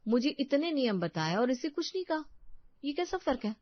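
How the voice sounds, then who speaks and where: A woman's voice: sits high, big pitch swings, normal speed, no room sound, dull audio, voice pushed up. A woman voicing an overworked, aggrieved young daughter-in-law, plaintive and protesting, in a family drama story. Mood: despairing.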